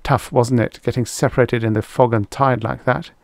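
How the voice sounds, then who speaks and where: A man voicing a feisty young woman raider in an audiobook story, brisk, chatty and sharp-tongued. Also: mid-range voice, fairly even intonation, dry recording, studio quality, pitched above the performer's own voice, natural voice. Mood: friendly, chatty. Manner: brisk, indignant whisperer with casual chattiness.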